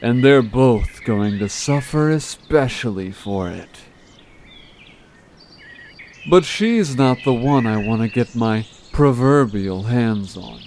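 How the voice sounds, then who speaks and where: A man plays a scheming monster villain who leads his gang with slow, sarcastic condescension. He talks in an unhurried drawl, as in an audio drama. Birdsong and steady noise sit behind the voice. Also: medium pitch. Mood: menacing, condescending. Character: manipulative male Fleen gang leader, vengeful, sarcastic monster villain. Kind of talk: scripted audio drama acting. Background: birdsong, forest ambience.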